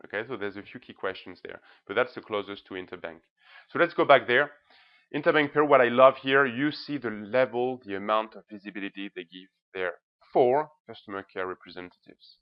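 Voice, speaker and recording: A man's voice: on the low side, fairly quick. A man giving an informal, emphatic presentation as a blogger, often checking in with short tag words. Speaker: presenter, blogger reviewing banks' social media. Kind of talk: informal screencast-style presentation. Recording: slightly dull, lo-fi sound.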